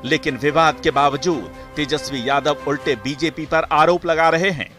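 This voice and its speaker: A man's voice: a little high, steady tone, normal speed, a bit bright. A man reading a scripted news report as a voice-over, in a dramatic, insinuating manner. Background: background music.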